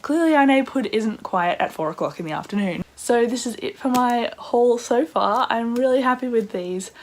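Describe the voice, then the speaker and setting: A woman with a croaky voice, chatting casually in a book vlog with excitable asides. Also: on the high side, moderate pace, a little hiss or hum. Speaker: book vlogger.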